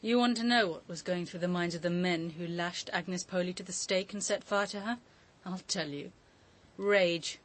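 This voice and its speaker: An actress in a radio drama playing a forceful, indignant woman with a blunt, emphatic way of speaking. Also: lowish voice, moderate pace, natural voice. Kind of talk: BBC radio drama dialogue.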